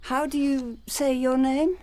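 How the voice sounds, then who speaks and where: An actress playing a fussy, genteel older widow and retired teacher who gets flustered and stammers, in a radio play. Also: female voice, high voice, fairly even intonation, a little slow, a little mellow.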